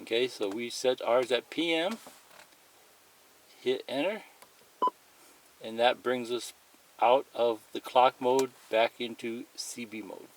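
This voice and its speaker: A man's voice: mid-range voice, takes it slowly, long pauses. A man giving a casual, plain-spoken, step-by-step demonstration as a tutorial presenter. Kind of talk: casual hands-on product demonstration. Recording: clearly noisy amateur recording, clicks and beeps.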